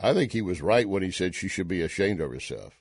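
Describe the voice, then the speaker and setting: Indignant low-voiced Texan host. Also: male voice, sing-song, quick pace, slightly rough, dry recording, clean studio sound. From Texas. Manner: indignant, rising emphatic questions. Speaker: talk show host. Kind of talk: opinion commentary.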